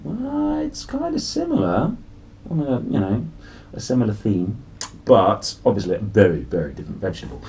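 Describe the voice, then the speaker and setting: A man hosting a picture-book read-aloud, telling the story in a playful, exclamatory way with drawn-out phrases and chatty asides to children. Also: mid-range voice, sing-song, creaky, a little mellow, a little hiss or hum. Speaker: host reading picture books aloud.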